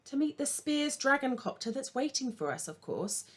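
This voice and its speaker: A woman voicing an uppity little male elephant shrew, brisk, impatient and officious, in a children's storybook. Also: sits high, big pitch swings, voice pushed up. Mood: brisk, explaining.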